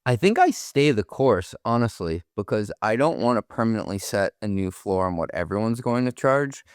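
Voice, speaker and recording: A man's voice: fairly low pitch, sing-song, normal speed, clean tone, dry recording, studio quality. A man co-hosting a business podcast, talking casually in informal, colloquial language. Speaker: podcast co-host.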